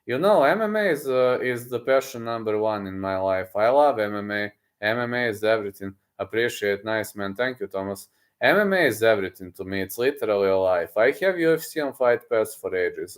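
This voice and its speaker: A man, a streamer chatting casually with his viewers, boastful and excitable, often repeating himself. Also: fairly low pitch. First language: Serbian. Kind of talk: casual livestream chat, reading messages aloud.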